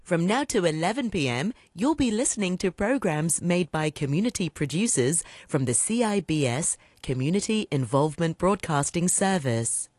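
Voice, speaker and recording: A woman reading a scripted radio station announcement in a formal, even way. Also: lowish voice, moderate pace, hardly any pauses, no room sound.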